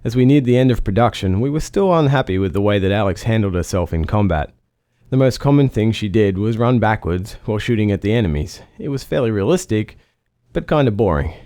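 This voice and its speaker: A man's voice: on the low side, lively intonation, fairly quick, warm tone. A man speaking as a game developer in a narrated commentary.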